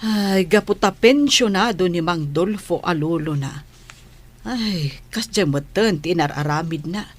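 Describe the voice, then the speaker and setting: A woman's voice: lowish voice, a little slow. A woman playing a sociable older village schoolteacher, chatty and exclamatory in an audio drama. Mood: gossipy concern. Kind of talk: Philippine audio drama acting.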